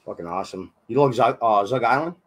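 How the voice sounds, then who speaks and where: A young man hosting a casual online radio interview from a small room, his voice hoarse and husky from illness, laid-back and swearing freely. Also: mid-range voice, quick pace. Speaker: radio show host. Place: small dim room with colored lights.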